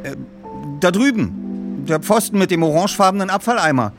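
A man voicing a good-natured, practical teenage boy detective in audiobook dialogue, brisk and matter-of-fact. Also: high-pitched, animated, music in the background, voice pushed up.

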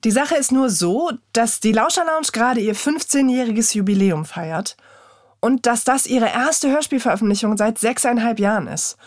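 A woman's voice: a little high, animated, normal speed, no echo, clean studio sound. A woman hosting a podcast, reading a scripted announcement. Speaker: podcast host.

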